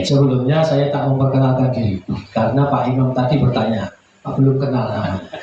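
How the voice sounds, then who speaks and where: A man's voice: medium pitch, a little mellow. A man, giving an informal Islamic sermon in a folksy, humble, joking way, chatting with his listeners over a public address microphone. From Indonesia. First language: regional Indonesian village language. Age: 40s. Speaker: Islamic preacher. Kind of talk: informal religious sermon to a congregation. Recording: very echoey, muffled, noisy PA recording.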